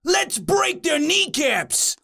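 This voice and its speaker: Performer as a high-pitched, bloodthirsty yelling demon. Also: very animated, creaky, heavily acted. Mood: gleefully menacing. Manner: yelling, drawn-out delivery. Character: brutish, bloodthirsty patrol demon yelling threats.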